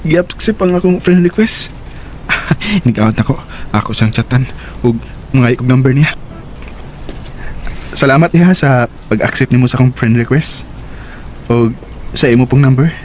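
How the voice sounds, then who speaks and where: A man's voice: mid-range voice, lively intonation, unhurried, long pauses, a little mellow, a little hiss or hum, natural voice. An actor playing a young man who works at a burger shop and falls for a girl online, in a radio drama. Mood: chatty. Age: young adult. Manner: eager, giggly, earnest.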